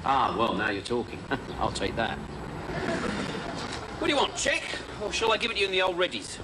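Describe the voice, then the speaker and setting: An actor playing a cheeky, wheeler-dealing market trader in a sitcom, bantering and joking. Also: male voice, medium pitch, huge pitch swings, normal speed. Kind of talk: 1980s sitcom acting. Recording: noisy old TV sitcom soundtrack.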